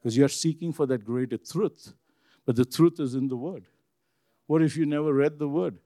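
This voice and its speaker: A man with a deep, bassy voice preaching an informal sermon to a room, talking conversationally, repeating himself and asking his listeners questions. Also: moderate pace, dark tone, no echo, studio quality. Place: church room with congregation.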